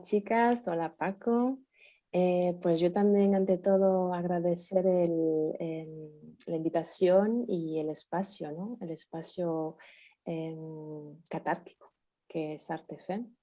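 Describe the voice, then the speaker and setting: Slow, mellow-voiced woman, hesitant. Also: on the low side, highs cut off. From Switzerland. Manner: hesitant, many fillers and restarts. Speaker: guest. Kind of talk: casual personal sharing in a group chat.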